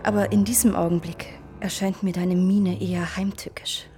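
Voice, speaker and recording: A woman's voice: lowish voice, level tone, moderate pace, dark tone, faint music behind, close to an everyday voice. An actress in a German radio drama playing a scheming, seductive society woman with a haughty, theatrical manner. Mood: coaxing, calculating.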